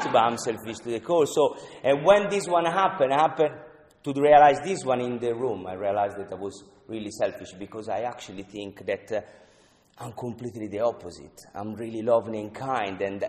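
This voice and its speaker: A man from Italy with an Italian accent, sharing his story at a twelve-step recovery meeting in halting English with many "you know"s. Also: fairly low pitch, darkish tone. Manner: halting non-native English, frequent "you know", earnest. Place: meeting room. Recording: echoey hall, speaker off-mic.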